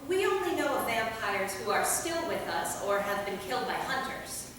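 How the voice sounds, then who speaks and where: A woman playing a centuries-old vampire who explains things in a measured, matter-of-fact way in a comic staged reading. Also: fairly high pitch, sing-song. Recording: echoey hall from far off, noisy.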